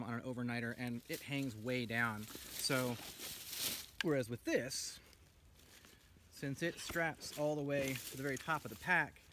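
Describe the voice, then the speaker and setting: A man's voice: medium pitch, background noise. A man in his thirties enthusiastically showing off and reviewing a pack he made, talking casually with lots of ums while sitting outdoors in a forest. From United States. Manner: enthusiastic, rambling with many ums. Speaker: gear maker reviewing his own pack. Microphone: camera a few feet away.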